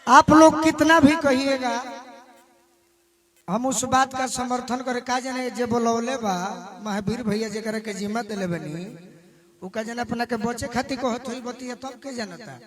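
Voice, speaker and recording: A man's voice: very high voice, a little slow. A man with a Bhojpuri accent speaking emphatically to a gathering, switching between Hindi and Bhojpuri. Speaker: singer. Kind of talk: impromptu public address to a gathering. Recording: loud crowd chatter around the speaker. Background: crowd.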